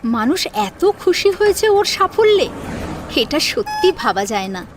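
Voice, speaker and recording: High-pitched woman narrating a dramatic story. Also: very animated, a little slow, a little hiss or hum. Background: faint background music.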